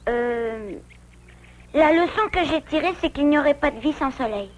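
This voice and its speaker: A woman's voice: sits high, lively intonation, normal speed. A woman voicing an eager, curious little boy bear cub in a French cartoon, childlike and earnest. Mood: thoughtful, earnest. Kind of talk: French animated series dubbing.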